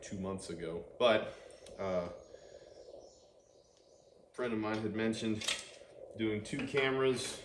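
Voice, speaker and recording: A man, hosting a casual workshop vlog and chatting while he works on an engine in an outdoor garage. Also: lowish voice. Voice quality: loud voice. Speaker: channel host. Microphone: camera microphone, no external mic. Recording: roomy, noisy workshop ambience.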